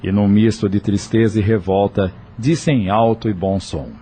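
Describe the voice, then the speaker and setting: Narrador de voz escura e suave. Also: male voice, on the low side, steady tone, moderate pace, warm tone, husky. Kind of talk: scripted audio novel narration.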